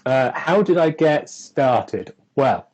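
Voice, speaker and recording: A man's voice: mid-range voice, expressive, slow pace, a little mellow, highs cut off. A young man from England talking casually on a live stream, chatty and rambling, with lots of fillers and frequent laughter. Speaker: co-host.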